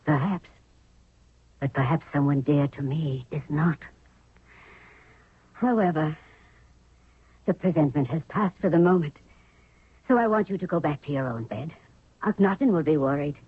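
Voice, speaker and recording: An actress playing a motherly, knowing old queen in a 1970s radio drama, weary and deliberate. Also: deep voice, breathy edge, no echo. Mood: weary, brooding. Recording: narrow, tinny 1970s radio recording.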